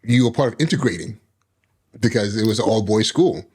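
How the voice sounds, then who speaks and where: A man's voice: fairly low pitch, fairly quick, darkish tone, slightly rough. A man hosting a podcast who asks his guest curious, probing questions. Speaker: podcast host. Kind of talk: interview conversation.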